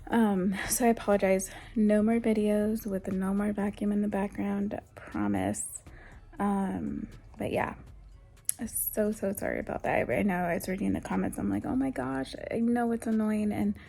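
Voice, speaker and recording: A young adult woman vlogging in a soft, whispery voice, rambling casually with lots of ums, recorded through headphones used as a mic. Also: medium pitch, moderate pace, gravelly, husky. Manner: rambling, with many ums and restarts. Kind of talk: casual chatty vlog talk. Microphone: headphones used as a microphone.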